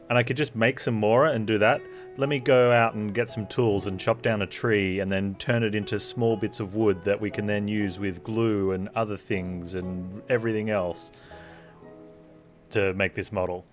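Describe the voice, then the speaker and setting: A man giving casual, improvised game commentary as a streamer, with a wry, joking, play-acting manner. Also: lowish voice.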